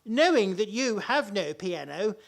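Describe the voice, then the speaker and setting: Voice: medium pitch, big pitch swings, a little slow, gravelly. An actor in a comic radio play playing a genial, timid, henpecked older father-in-law, hesitant and a little fussy, with old-fashioned politeness. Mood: rueful. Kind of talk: stage-comedy radio play acting.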